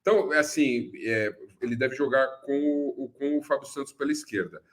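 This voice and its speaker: A man co-hosting a casual fan talk show about football, blunt and quick to swear. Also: mid-range voice.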